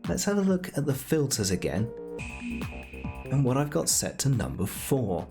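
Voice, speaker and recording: A man presenting a casual software tutorial in a playful, chatty way with jokey asides. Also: mid-range voice, big pitch swings, moderate pace, gravelly, music in the background.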